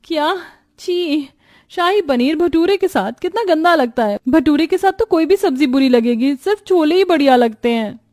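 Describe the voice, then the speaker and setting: A woman's voice: sits high, animated, moderate pace, darkish tone, pitched above the performer's own voice. A woman voicing a young, put-upon new bride who complains and protests plaintively in a cartoon story. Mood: disgusted.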